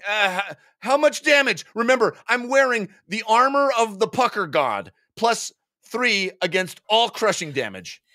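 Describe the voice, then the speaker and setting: Man acting out excitable, high-pitched nerdy male gamer. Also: a little slow, few pauses, no echo, clean studio sound, heavily acted. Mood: pompous, urgent. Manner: exclaiming, mock-heroic, blurting. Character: excitable nerdy male tabletop gamers, shouting dice players. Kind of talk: comic dramatic reading.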